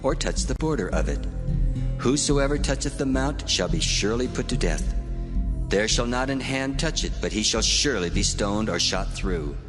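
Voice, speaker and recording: An actor playing God in a dramatized scripture reading, with a solemn, commanding delivery and a reverberant divine echo. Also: male voice, mid-range voice, lively intonation, moderate pace. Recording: long hall reverb over music.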